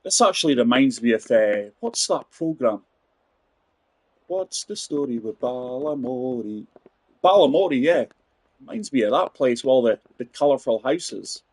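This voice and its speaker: A man's voice: medium pitch, animated, a little slow, a little mellow, husky, dry recording, poor audio. A man in his forties giving chatty live commentary on a golf game, talking straight to his viewers. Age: 40s. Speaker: game streamer.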